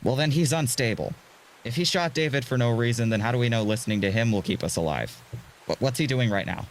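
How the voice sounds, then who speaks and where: A man's voice: medium pitch, fast talker, noisy background, natural voice. An actor playing a hot-headed young male hostage in an audio drama, urgent, stammering and defiant. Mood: agitated.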